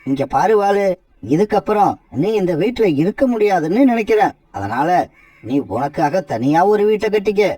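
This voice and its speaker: A woman's voice: medium pitch, expressive, normal speed, mellow, husky, no echo, far from the mic. A woman voicing a grown male bird, a weary, henpecked husband in a cartoon, with a pleading, defensive manner. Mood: firm.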